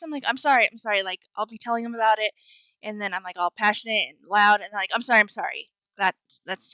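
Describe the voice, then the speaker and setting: A young woman co-hosting a podcast, soft-spoken and easygoing in casual chat. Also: medium pitch, no room sound, poor audio. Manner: easygoing, agreeable, lots of backchannel. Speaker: podcast co-host.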